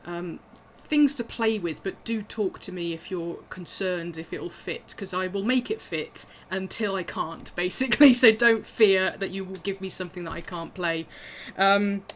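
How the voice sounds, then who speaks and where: A woman's voice: fairly low pitch, slight background noise. A woman musician talking casually through her instruments on a video call, chatty and self-deprecating with frequent ums and little laughs.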